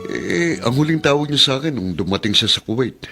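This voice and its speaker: A man's voice: mid-range voice, lively intonation, brisk, over music, natural voice. A man playing an earnest, exasperated protective brother in a Filipino radio drama. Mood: worried. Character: worried, protective brother defending his sister, exasperated.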